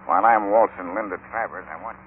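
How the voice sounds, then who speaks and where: An American actor playing a menacing, scheming boss in an old-time radio western, curt and impatient. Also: male voice, lowish voice, quick pace, lightly acted. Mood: scheming, hard. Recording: muffled, noisy old radio broadcast.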